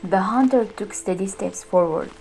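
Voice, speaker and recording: A woman narrating a fantasy story in an earnest, soft-spoken way, as the channel's creator. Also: mid-range voice, moderate pace, soft background music, low-quality recording.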